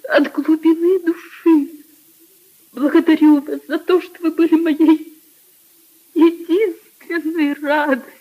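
An actress in a 1950s Soviet radio drama playing a tender, grieving young noblewoman, with a hushed, emotional, drawn-out delivery. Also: high-pitched, low-quality recording. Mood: tearful, exalted.

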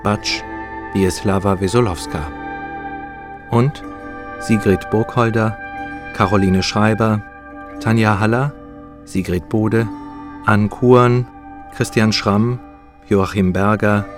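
A male radio announcer reads credits in a formal, scripted way. His voice is fairly low and the delivery is slow and measured, with plucked guitar music clearly behind him.

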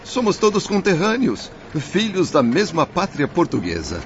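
An actor playing a weary traveler who tries to calm quarrels among his companions. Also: high-pitched, normal speed. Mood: conciliatory. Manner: conciliatory, then pleading. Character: weary peacemaking Portuguese traveler. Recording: dull lo-fi audio with faint noise.

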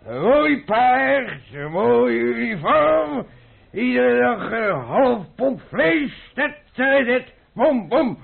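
A man acting a drunken veteran cavalry sergeant in a radio play, rambling haltingly between boasts and gloom. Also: very high-pitched, creaky, husky, full character voice. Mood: drunken, declaiming. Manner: halting drunken rambling, breaking into recruiting cries. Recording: muffled old radio play recording.

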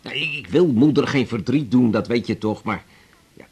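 An actor playing an older father in a radio drama, hesitant and apologetic, halting over his words. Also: medium pitch, animated, darkish tone, husky. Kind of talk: 1980s radio drama acting.

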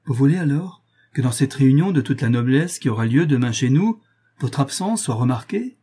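Man voicing a sorrowful, resolute noblewoman, husky. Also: mid-range voice, normal speed, dark tone, natural voice. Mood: urgent, commanding. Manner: grave, reproachful, emphatic. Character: remorseful married noblewoman, sorrowful, resolute, renouncing her lover. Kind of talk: dramatised novel reading.

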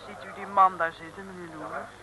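A woman's voice: on the low side, steady tone, brisk, bright, forceful tone. An actress playing a pert, wary female detective's assistant in an old comic radio serial, chiding and complaining in colloquial speech with a Dutch accent. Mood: suspicious. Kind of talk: 1950s-60s comic radio serial acting. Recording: noisy old radio recording.

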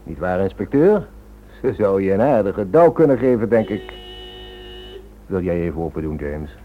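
An actor playing a suave, self-assured and dismissive operative in a Dutch radio drama, with a husky voice. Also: male voice, low-pitched, very animated, moderate pace. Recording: thin, phone-like old radio recording.

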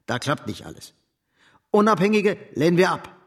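Boastful military strongman, radio drama, rough-edged husky voice, fairly high, assured, emphatic. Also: very animated, normal speed, a bit bright, heavily acted. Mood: boastful, confident. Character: hard, boastful military-minded political commander, man. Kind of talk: German radio drama acting.

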